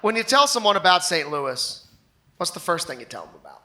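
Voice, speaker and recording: A man's voice: high voice, very animated, a little fast, sharp tone. A man co-hosting a live comedy show with casual, joking banter. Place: live show in front of a room audience.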